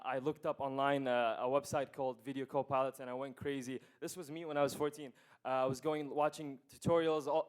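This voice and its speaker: A man's voice: mid-range voice, clean tone. A man in his early twenties giving a casual, hesitant student presentation at a conference, with many fillers and restarts. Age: 20s. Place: conference hall.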